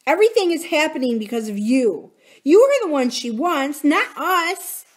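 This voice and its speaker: Woman voicing a high, defensive young girl. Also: very animated, unhurried, a little mellow. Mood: angry, bitter. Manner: protesting.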